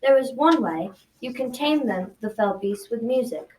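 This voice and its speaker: Young girl as earnest talking animal guide, radio folktale, higher voice, background noise. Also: animated, normal speed, a little mellow, lightly acted. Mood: solemn, instructing. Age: child around 12 to 14. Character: self-sacrificing talking animal helper with a tail, solemn. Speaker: student actor. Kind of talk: school radio drama acting. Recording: noisy amateur recording, distant mic.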